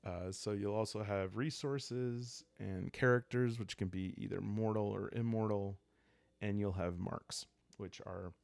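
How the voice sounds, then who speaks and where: A man hosting a solo role-playing game channel, explaining in a calm, unhurried ASMR style with halting, reflective phrasing. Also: on the low side, creaky, clear, resonant tone, no echo.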